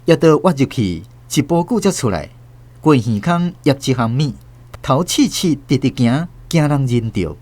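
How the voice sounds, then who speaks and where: A man's voice: medium pitch, lively intonation, normal speed, darkish tone. A man with a Taiwanese accent narrating a radio drama in a dramatized storytelling style.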